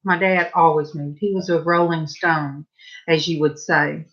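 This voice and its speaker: A woman's voice: deep voice, steady tone, unhurried, fairly bright tone, highs cut off. A middle-aged woman with a Southern US accent, chatting casually at home and rambling to her viewers while she paints. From Southern California. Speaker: vlogger. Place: home, indoors.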